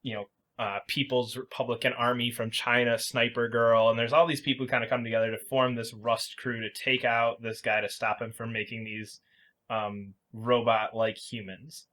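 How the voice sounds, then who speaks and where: A man's voice: medium pitch, little pitch movement, normal speed, fairly bright tone. Casual man explaining things on a podcast. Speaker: podcast host. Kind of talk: casual video game review chat.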